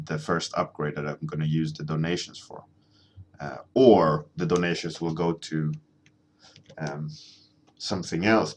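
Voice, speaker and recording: A man in his thirties from Sweden with a deep voice, chatting casually and rambling as he streams himself drawing, answering his chat at a desk microphone. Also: a little hiss or hum. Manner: rambling, halting, many ums and restarts, chuckling, answering chat. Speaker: artist streaming a drawing session.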